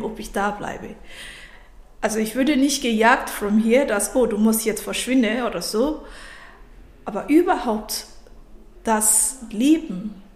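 A woman in her thirties, born and raised in Africa, speaks German as a learned language and tells her story slowly and thoughtfully, often breaking off and starting a sentence over. Her voice sits fairly high, and the room gives it a noticeable echo. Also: darkish tone. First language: not German. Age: 30s. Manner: reflective, halting, restarts mid-sentence. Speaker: podcast guest. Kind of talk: personal story in interview.

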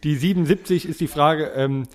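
A man hosting a podcast, chatting casually with friends in a halting, rambling way. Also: fairly high pitch, darkish tone. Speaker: podcast host. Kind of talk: casual chat among friends.

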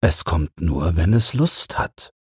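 Gentle old storybook grandfather type, acted by a man: low, very raspy, musing, unhurried. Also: animated, dry recording, clean studio sound, heavily acted. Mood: kindly, musing. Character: friendly old man, gentle teller of fairy tales.

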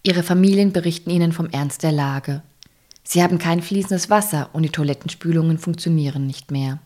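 Tiefe Frauenstimme als Hörspielerzählerin. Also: female voice, low voice, fairly quick, warm tone. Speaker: narrator. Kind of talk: scripted audio drama narration.

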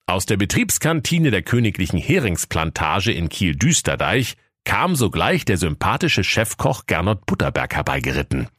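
A man's voice: sits low, big pitch swings, normal speed, hardly any pauses, fairly bright tone, husky, no echo, studio quality. A man narrating a comic fairy tale as storyteller in a mock-solemn manner.

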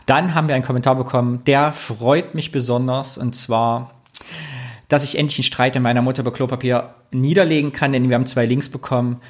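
A man's voice: mid-range voice, steady tone, fairly quick. A man co-hosting a casual podcast, reading listener comments aloud and telling anecdotes in a chatty way. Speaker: podcast co-host.

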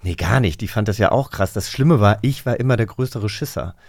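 Male co-host, animated storyteller, dry studio sound. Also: fairly low pitch, brisk, creaky, dry recording. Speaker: podcast co-host. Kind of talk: casual chat and storytelling.

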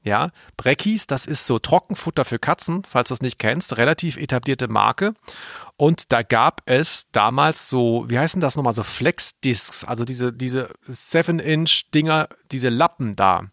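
A man with a mid-pitched voice chats wryly and colloquially as a podcast co-host. The sound is dry, without room echo. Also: expressive, unhurried, creaky, a bit bright. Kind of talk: casual chat about audio dramas.

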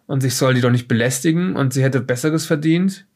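A man playing a haughty, evasive witness under police questioning in an audio drama, halting and correcting himself as he talks. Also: mid-range voice, darkish tone, close to an everyday voice. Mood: indignant, dismissive. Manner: hesitant, halting, indignant, with self-corrections. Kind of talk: improvised in-character audio drama dialogue.

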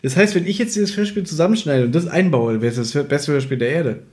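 A man's voice: a little high, quick pace, a little mellow. A man co-hosting a podcast, casually reviewing an audio drama in a teasing, ironic way. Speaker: podcast co-host.

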